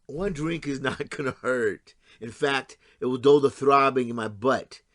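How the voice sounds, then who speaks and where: A man's voice: medium pitch, measured pace, a bit bright, no room sound, studio quality, natural voice. Needling, plain-spoken blue-collar man played by an actor. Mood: pained, cajoling. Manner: plain-spoken, sardonic, argumentative. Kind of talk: stage-style audio drama acting.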